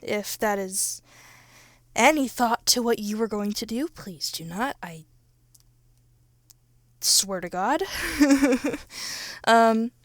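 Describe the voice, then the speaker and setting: Young female creator, slightly high voice, unscripted and informal, halting delivery, very dry close recording. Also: no echo. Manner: informal, halting and self-correcting, with mild swearing. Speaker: ASMR roleplay creator and channel host. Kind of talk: casual off-script chat to listeners.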